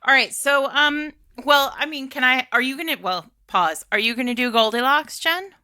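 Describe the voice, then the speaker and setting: Female podcast host, bright and punchy, very dry close sound, playful storyteller in casual book talk. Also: high voice, normal speed, clean tone, no echo. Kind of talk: casual book recommendation chat.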